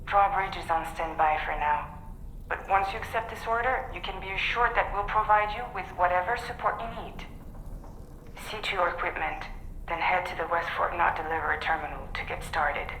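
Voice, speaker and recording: Bright, echoey composed female mission operator. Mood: calm, reassuring. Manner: measured, reassuring, businesslike. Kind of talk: video game mission briefing. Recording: echoey, faint background rumble.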